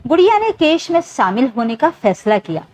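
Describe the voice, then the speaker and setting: A female narrator delivers a scripted dramatic story in a high voice. Her intonation swings widely, and she tells it in an emotive storyteller's manner. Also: big pitch swings, normal speed, a little mellow.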